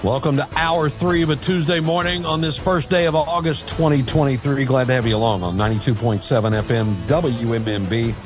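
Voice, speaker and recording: A man's voice: mid-range voice, fairly quick, few pauses, over music. An American man hosting a morning talk radio show in a casual, chatty style. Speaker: radio host.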